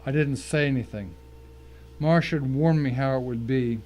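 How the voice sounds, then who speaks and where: Male narrator, average pitch, scripted dramatic storytelling, slightly noisy humming recording. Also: moderate pace, darkish tone.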